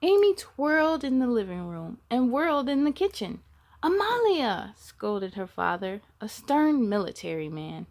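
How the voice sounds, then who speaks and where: A high-pitched woman's voice hosts a children's storytime. She reads from a picture book in an animated manner, talking straight to her young listeners. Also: a little slow. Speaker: storytime host reading a picture book aloud. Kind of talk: children's read-aloud with asides.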